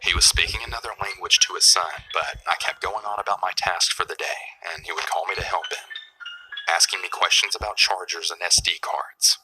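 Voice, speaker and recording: Deep-voiced man narrating in a hush. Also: steady tone, brisk, background noise. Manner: hushed, half-whispered telling. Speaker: story narrator. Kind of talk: scripted narration of a scary story.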